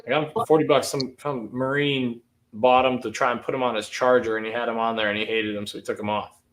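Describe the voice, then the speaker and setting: A man's voice: mid-range voice, fairly even intonation, fairly bright tone, low-quality recording. Guy hosting a car stream, rambly, restarts a lot, bit of faint hiss. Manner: rambling, restarts often, talks in quick bursts. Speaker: livestream host. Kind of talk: casual car chat.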